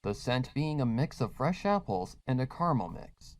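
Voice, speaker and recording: A man's voice: mid-range voice, very animated, moderate pace, slight background noise. A man reading scripted story narration as the narrator of a fan fiction.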